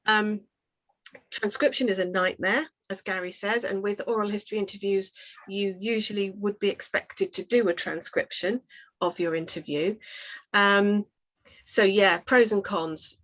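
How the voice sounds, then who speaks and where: A woman's voice: medium pitch, fairly even intonation, a little slow, a bit bright. Woman explaining, dull lo-fi call audio. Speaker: oral historian and workshop speaker. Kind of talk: conversational webinar advice. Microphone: video call.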